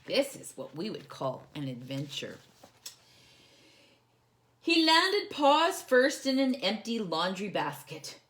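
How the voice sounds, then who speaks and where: A woman's voice: medium pitch, big pitch swings, slow, pauses often, fairly bright tone. A woman hosting a children's storytime, reading a picture book expressively and putting simple questions and explanations to young listeners.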